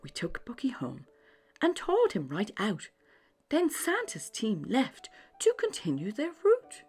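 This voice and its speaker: A woman reading a children's bedtime story aloud, expressive and often dropping into a hushed, breathy delivery. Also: a little high, a little slow, soft background music. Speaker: storybook narrator.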